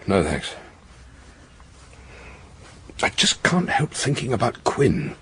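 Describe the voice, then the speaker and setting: A proud, resentful husband: medium-high voice, hugely varied intonation, grave, radio drama, faint noise. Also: male voice, very animated, takes it slowly. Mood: bitter, troubled. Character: troubled, proud, embittered husband, a senior administrator. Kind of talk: naturalistic radio drama acting.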